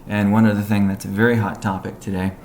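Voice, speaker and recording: A man giving a business briefing on a conference call as a company executive, in a measured, halting way with technical jargon. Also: fairly low pitch, flat delivery, darkish tone. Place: conference call, echoey room. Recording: echoey room, faint background noise.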